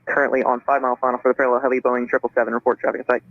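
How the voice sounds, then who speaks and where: A man's voice: medium pitch, flat delivery, rapid-fire, phone-quality sound. A man working as an air traffic controller, speaking clipped phraseology over aviation radio.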